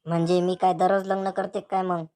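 Sharp-tongued young village bride acted by a woman. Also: lowish voice, level tone, fairly quick, natural voice. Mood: indignant. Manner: scolding, blunt. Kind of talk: cartoon comedy dialogue.

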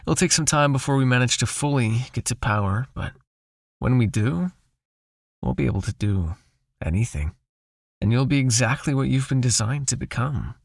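A man playing a possessive, seductive vampire master in an ASMR hypnosis roleplay, murmuring breathily and slowly in an intimate, coaxing, softly commanding way. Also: medium pitch, expressive, gravelly, dry recording, clean studio sound. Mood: smooth, coaxing.

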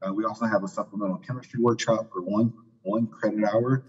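Male presenter at a conference, lower voice, relaxed delivery with fillers, dull echoing hall audio. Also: fairly quick. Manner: relaxed, filler-heavy, skipping ahead for time. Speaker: program director presenting at a conference. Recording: muffled audio in a reverberant hall.

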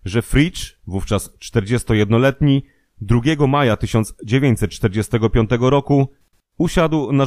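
A man reading scripted documentary narration in a formal, measured manner. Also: mid-range voice, a little mellow, no echo, clean studio sound.

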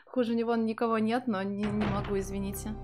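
A woman's voice: medium pitch, level tone, fast, over music. A young woman streaming a game from a small room at home, chatting to viewers, swearing freely and translating the game's lines on the fly. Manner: profane, sardonic, chatting to viewers. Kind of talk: casual live game commentary with translation.

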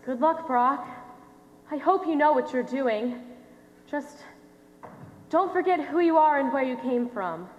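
A woman's voice: high-pitched, expressive, measured pace, long pauses, darkish tone, slight background noise. An actress on stage playing an earnest woman speaking to her protégé. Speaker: actor in a high school play. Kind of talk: live amateur stage acting. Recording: dull, humming, echoey hall recording.

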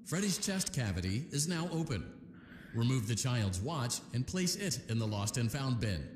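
Man doing a game training voice, upbeat corporate tone, lively pitch, echoey, loud music behind. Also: normal speed, slight background noise, lightly acted. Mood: calm. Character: friendly male corporate repair-training voice. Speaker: in-game instructor. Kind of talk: scripted game voice-over instructions.

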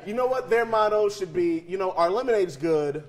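A young man, a comedian guest, ranting loudly and jokingly in a raunchy, animated way, recorded on a lapel mic in a restaurant bar. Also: high-pitched, fairly quick. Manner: loud, animated ranting, shouts for emphasis.

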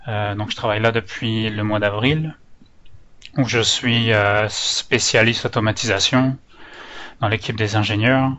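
A man's voice: fairly low pitch, steady tone, unhurried, fairly bright tone. A man from France with a French accent tinged by Canadian intonation, giving an informal, slightly hesitant technical presentation as a user group organizer. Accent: French with Canadian-influenced intonation. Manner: hesitant, nervous speaker. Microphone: online meeting microphone. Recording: dull, lo-fi online call audio.

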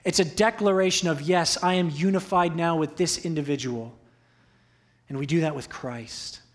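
A young man delivers a sermon at a church pulpit through its microphone. His voice sits slightly high and carries an earnest, exhorting tone. He drives his points home by repeating key phrases. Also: normal speed. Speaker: preacher.

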